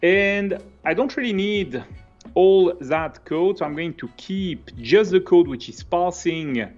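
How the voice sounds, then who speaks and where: A middle-aged man with a Swiss French accent, a native French speaker, calmly walking through code step by step on a live stream. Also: high voice, slow, muffled recording, soft background music. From Switzerland. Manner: explains step by step, relaxed and conversational. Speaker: co-host, developer presenter. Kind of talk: live coding stream with commentary. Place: home office, indoors. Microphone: webcam video call.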